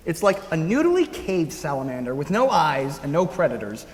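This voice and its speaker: A man's voice: a little high, sing-song, normal speed. A man playing an awkward, earnest, kindhearted teenage boy in a stage musical, speaking hesitantly and rambling with stammers. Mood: awkward concern. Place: school auditorium staged reading. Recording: echoey auditorium, piano music behind.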